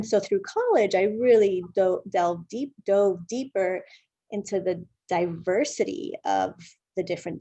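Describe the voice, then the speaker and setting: Interview guest: American woman, noticeable vocal fry, close dry sound, thoughtful, emphatic delivery. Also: medium pitch, warm tone, dry recording. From Southern California, United States. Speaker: interviewed guest, dance artist and educator. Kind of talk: conversational interview, reflective explaining.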